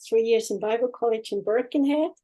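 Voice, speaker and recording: Older Swiss-accented woman, halting delivery. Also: on the high side, mellow, low-quality recording. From Switzerland. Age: 60s or older. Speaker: guest, healing practitioner and former nurse. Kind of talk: personal life story in an online interview. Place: online video chat.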